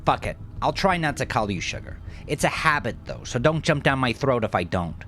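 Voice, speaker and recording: A brash gangster of an audio drama, acted out by a male narrator, teasing and sure of himself. His pitch jumps up and swings widely over clearly audible music, with drawling, amused exclamations. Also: animated, a little fast, bright, forceful tone. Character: swaggering, cocky, amused male gangster, foul-mouthed and casually charming.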